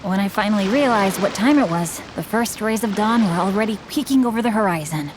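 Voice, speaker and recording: A woman's voice: medium pitch, lively intonation, a little fast, noisy background, lightly acted. A woman playing a polite, bookish teenage young master in a video game, with courteous, eloquent and slightly formal speech. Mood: composed, puzzled. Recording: background music under the voice.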